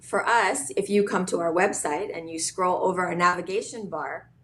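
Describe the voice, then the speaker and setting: Brief: woman from Hawaii, founder guest, low-pitched, halting and reflective. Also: a bit bright. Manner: thoughtful, hesitant with many fillers and restarts. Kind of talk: casual interview talk.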